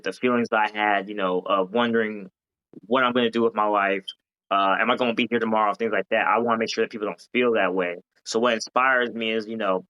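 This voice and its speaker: Brief podcast guest, male, from Hawaii, bright tone, earnest and rambling. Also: on the low side, rough recording. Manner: earnest, rambling, many fillers and restarts. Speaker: guest, founder of a gaming company. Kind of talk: casual podcast interview.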